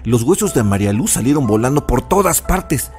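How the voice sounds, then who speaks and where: A man's voice: mid-range voice, sing-song, brisk, darkish tone, soft background music, lightly acted. An actor playing an old grandfather who narrates an eerie legend with suspense in an audio drama. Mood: suspenseful.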